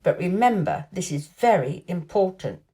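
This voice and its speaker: A woman's voice: fairly low pitch, animated, normal speed, warm tone, lightly acted. A woman voicing a lordly young invalid boy in a storybook, self-important and precise, with a dreamy streak. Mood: lordly, commanding.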